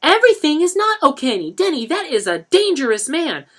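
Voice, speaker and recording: Stern middle-aged mother, lecturing, exasperated, raised high, as a woman reads her. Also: sing-song, moderate pace. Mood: scolding.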